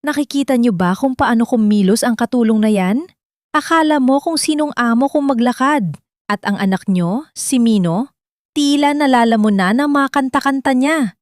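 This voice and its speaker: A woman's voice: fairly high pitch, expressive, normal speed, no room sound, pitched above the performer's own voice, close to an everyday voice. Storybook villainess, read by a female narrator: sly manipulator, honeyed but poisonous, dry close sound. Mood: sly, insinuating. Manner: sugary, insinuating, poisonous. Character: scheming, venomous younger woman, sweet-talking manipulator. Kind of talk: scripted storybook villain dialogue.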